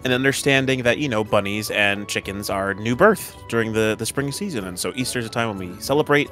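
Man acting fast-talking, patient robot professor. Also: on the low side, animated, bright, forceful tone, faint music behind. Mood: explanatory. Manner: halting, explanatory classroom talk. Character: robot professor, patient and fussy, teaching a class. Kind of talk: Minecraft roleplay acting.

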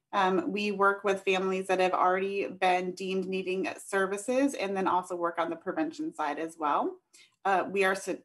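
A woman, a behavioral health coordinator, presents her agency steadily over a video call, with frequent ums. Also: mid-range voice. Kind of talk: presenting over a shared screen.

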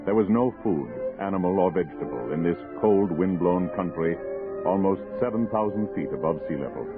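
A man's voice: low-pitched, expressive, brisk. A man with an American accent acting as the solemn, formal narrator of a 1960s frontier radio drama. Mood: solemn, dramatic. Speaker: narrator, announcer. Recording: muffled old radio recording with music.

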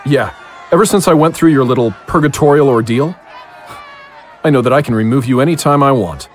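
A man's voice: mid-range voice, expressive, fairly quick, some chatter behind, slight background noise, lightly acted. A man playing a hard-bitten, distrustful occult hero, blunt and confrontational, in an audio drama. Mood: angry distrust.

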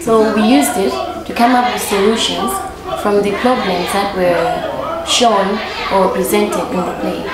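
A woman gives explanatory documentary commentary at an ordinary pitch. The space around her rings heavily, and a crowd is loud behind her. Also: steady tone, measured pace. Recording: echoey room with crowd noise.

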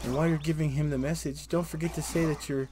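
A grateful woman sergeant from a fantasy game, acted out by a man, speaks her quest lines in a raised pitch. Clear game noise runs behind the voice. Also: fairly even intonation, fast, warm tone, natural voice. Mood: earnest, pleading. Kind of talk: reading quest dialogue aloud.

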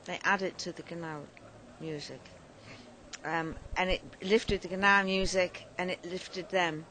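A woman co-hosting a casual podcast, her voice hoarse from shouting and singing, talking enthusiastically outdoors. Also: lowish voice, fairly even intonation, measured pace, slight background noise, rough recording. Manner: enthusiastic, effusive. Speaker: podcast co-host. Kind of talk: casual chat. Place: outdoors at a festival.

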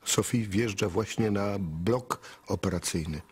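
An actor playing a wry, musing storyteller in a radio play, with a husky voice and unhurried manner. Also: male voice, fairly low pitch. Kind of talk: dramatic third-person narration.

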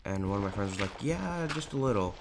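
Raspy teenage boy gaming commentary. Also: lowish voice, lively intonation, fairly quick, noisy background. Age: teens. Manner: rambling, chatty, lots of fillers. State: hoarse, clearing throat. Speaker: gaming YouTuber. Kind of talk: casual Minecraft commentary. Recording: home recording with clear keyboard clicks.

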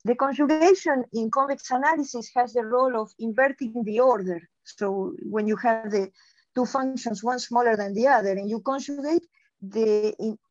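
A woman's voice: fairly high pitch, no room sound. A middle-aged woman on a video call, giving an explanatory academic answer and often restarting her phrases. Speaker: keynote speaker, mathematician. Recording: dull, lo-fi video call audio.

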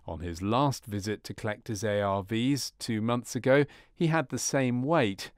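A man reading scripted narration for a medical training film in a measured, formal manner. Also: lowish voice, clear, resonant tone, no room sound, clean studio sound.

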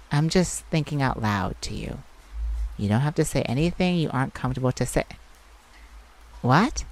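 A woman playing a caring, teasing vampire in an intimate ASMR roleplay, speaking gently and soothingly with sighs and pauses. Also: rumbling low, exaggerated voice, poor audio. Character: caring, teasing, sympathetic vampire confiding in a hunter. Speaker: audio roleplay performer.

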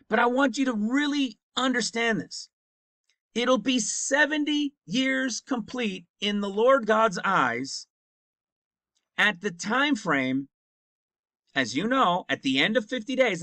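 A man's voice: high voice, pushed and bright, no echo. A man in his fifties teaching from the Bible over a screen share, emphatic, repeating his points and checking with "right?". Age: 50s.

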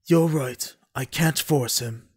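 Man acting a husky, brooding clan lord. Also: medium pitch, takes it slowly. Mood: grave. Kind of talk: amateur anime audio drama acting.

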